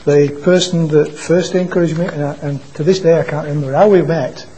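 A man preaching an informal sermon into a microphone at a church meeting, in a quiet voice that stalls and restarts on words. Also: fairly high pitch, steady tone, a little hiss or hum, rough recording. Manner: halting, repeats and restarts words. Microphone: microphone being adjusted.

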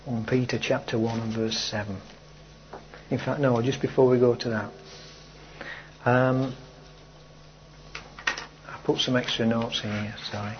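A man preaching a sermon to his congregation, speaking slowly and emphatically with repeated phrases, while he is losing his voice. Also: medium pitch, flat delivery, muffled recording, noisy background. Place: church hall with a congregation.

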